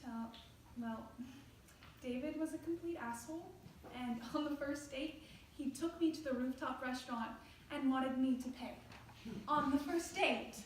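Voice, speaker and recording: An actress playing an exasperated young single romantic in a stage play, with a dramatic, emphatic delivery. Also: female voice, sits high, a little slow, pauses often. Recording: very echoey room, distant mic, faint noise.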